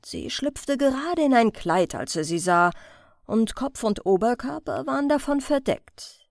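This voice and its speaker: Lady reading a crime audiobook, takes it slow and measured, dry close sound. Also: fairly high pitch, lively intonation, creaky, no room sound. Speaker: audiobook narrator. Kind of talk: scripted audiobook narration of a crime story.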